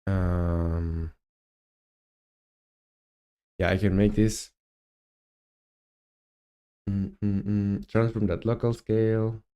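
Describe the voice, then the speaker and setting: A man in his twenties with a Chilean Spanish accent, talking through his coding on a live stream, halting and thinking aloud with many fillers. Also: on the low side, level tone, warm tone, clear, resonant tone. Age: 20s. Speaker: game developer streamer.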